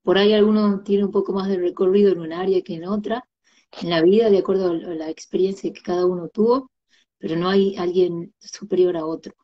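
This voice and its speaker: A woman with an Argentine Tucumán accent, a guest on a live video call, speaking earnestly and gently. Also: on the low side, steady tone, fairly quick. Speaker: interview guest. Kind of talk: casual heartfelt conversation. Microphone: phone on a video call. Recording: dull lo-fi video-call audio.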